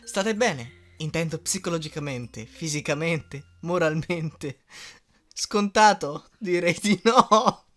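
A woman's voice: low voice, expressive, takes it slowly. A young woman talking casually in an interview, giggly and blunt, often hesitating as she phrases answers. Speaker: amateur voice dubber and writer answering fan questions.

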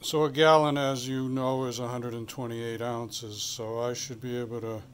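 A middle-aged man talks through a home tool demonstration in his garage, plainspoken and rambling, full of "uh"s as he thinks aloud. His voice is clear and smooth at an ordinary mid pitch, picked up by the camera's own mic. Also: little pitch movement, moderate pace. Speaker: channel host. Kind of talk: casual how-to demonstration. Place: home garage workshop, then outdoors.

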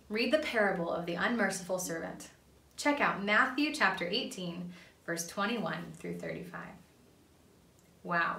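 A woman's voice: medium pitch, vocal fry, sharp tone. A young woman reading a children's storybook aloud expressively, recorded in a small room a little away from the microphone. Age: young adult. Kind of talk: expressive read-aloud narration. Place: small indoor playroom. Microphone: camera a few feet away. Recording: roomy, slightly distant microphone.

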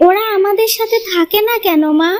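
A very high, childlike voice of a small village girl in a cartoon fairy tale. She is sweet and earnest, pleading gently with her elders. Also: fairly quick. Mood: pleading, hopeful. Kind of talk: Bengali moral-tale cartoon dubbing.

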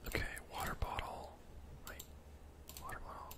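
A young man whispering soft, breathy ASMR gameplay commentary in a casual, rambling way, thinking aloud close to the microphone. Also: moderate pace. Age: young adult. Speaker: gaming ASMR streamer.

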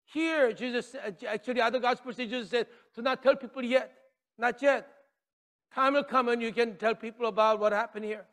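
Middle-aged male pastor, very high voice, animated sermon delivery, long church-hall reverb. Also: fairly quick. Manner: animated, gesturing widely, swings from loud declarations to near-whispers. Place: church stage with drums and podium.

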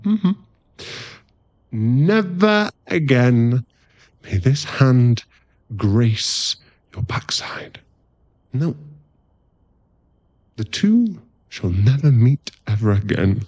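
A man playing a playful, teasing boyfriend in an intimate ASMR roleplay, talking haltingly and acting mock-offended and cajoling. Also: medium pitch, big pitch swings, darkish tone, dry recording, lo-fi sound, full character voice. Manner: teasing, halting, intimate one-sided chat.

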